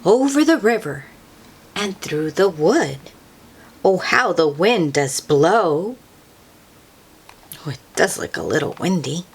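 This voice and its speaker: Woman reading slowly and expressively to children. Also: on the low side, animated, a little hiss or hum. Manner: sing-song, asks the listener questions with soft exclamations. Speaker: children's read-aloud host. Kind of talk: expressive picture-book read-aloud for young children.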